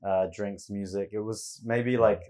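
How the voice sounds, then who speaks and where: A man from Singapore, a festival co-founder, chatting in an animated way full of "like" fillers. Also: on the low side, a little slow. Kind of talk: casual interview chat.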